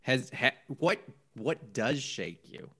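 A man from New England co-hosting a podcast, asking rambling, halting questions. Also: mid-range voice, lively intonation, pushed and bright, husky. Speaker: podcast co-host. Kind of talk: casual podcast interview.

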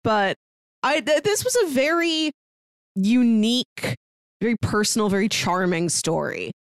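A woman's voice: a little high, expressive, measured pace, clear, resonant tone, no room sound, clean studio sound. A woman co-hosting a podcast, giving her take in a casual film review chat. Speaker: podcast co-host.

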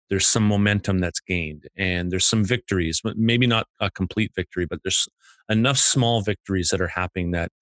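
A man's voice: low voice, a bit bright, no room sound. A man co-hosting a casual chat, methodically breaking ideas down into stages, with hesitant fillers. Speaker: podcast co-host.

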